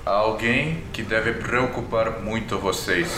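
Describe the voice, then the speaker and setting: A man playing an ancient, proud but weary vampire, speaking slowly and solemnly in grandiose phrases. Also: lowish voice. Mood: solemn confession. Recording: roomy echo, music underneath.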